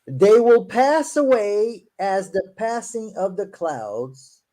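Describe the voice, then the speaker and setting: High-voiced man, native Portuguese speaker. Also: animated, warm tone, rough recording. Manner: reads verses aloud slowly, then comments in a quick, sarcastic tone. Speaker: show host. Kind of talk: casual critical discussion.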